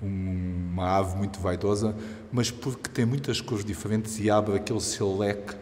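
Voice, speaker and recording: Low, mellow man in a reverberant hall. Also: level tone, normal speed. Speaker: language education specialist. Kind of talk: reflective spoken answer. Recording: long reverberant room.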